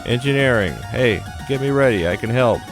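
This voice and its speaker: Casting: trapped rookie pilot, played by a man, urgent pleading shouts, pitched well up, visual novel game. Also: brisk, music in the background, close to an everyday voice. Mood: desperate. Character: desperate trapped rookie mech pilot begging to launch.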